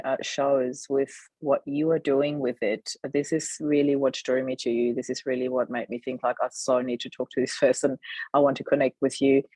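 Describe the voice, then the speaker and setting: A woman hosting an interview podcast over a video call, her voice croaky from a cold, affirming her guest with frequent murmurs. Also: very deep voice, darkish tone, dry recording, poor audio. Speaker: podcast host.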